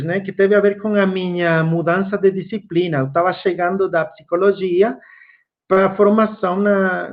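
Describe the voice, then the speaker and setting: A man from Guatemala with a Guatemalan Spanish accent, a native Spanish speaker giving an online course lecture, slow and reflective with frequent fillers. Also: sits high, narrow, tinny audio.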